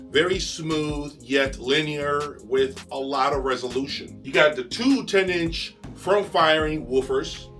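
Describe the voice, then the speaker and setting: A man hosting an enthusiastic video review, speaking emphatically with dramatic pauses. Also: on the high side, bright, forceful tone. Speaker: audio review channel host. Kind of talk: enthusiastic product review presentation to camera.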